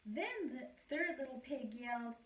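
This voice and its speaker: A woman's voice: a little high, normal speed, close to an everyday voice. A woman reading as a wronged wolf. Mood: aggrieved, chatty. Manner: chatty, wry, self-justifying storytelling. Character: self-pitying male wolf telling his side. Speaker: teacher reading aloud. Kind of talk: storybook read-aloud. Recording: roomy echo, distant mic.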